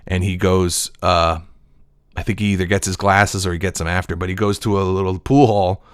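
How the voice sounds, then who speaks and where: A man's voice: deep voice, fairly even intonation, normal speed, clear, resonant tone, no room sound. A male voice-over actor talking in a casual, digressive solo monologue from his desk. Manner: digressive, reflective storytelling.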